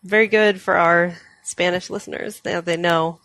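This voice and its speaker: A woman's voice: fairly low pitch, steady tone, normal speed, a bit bright, no echo. A woman hosting a casual podcast chat, a little stuffy-nosed and laughing often. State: stuffy nose. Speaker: podcast host.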